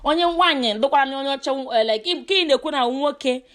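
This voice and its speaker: Higher-voiced woman in casual conversation. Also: quick pace, a bit bright. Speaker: daughter interviewing her mother by phone. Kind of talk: casual phone chat mixing in English.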